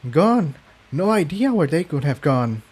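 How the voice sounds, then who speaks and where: A man voices a guarded female pony in a fantasy storybook. She is tense and worried, with terse lines in a raised pitch. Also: very animated, a little slow, a little mellow. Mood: puzzled worry.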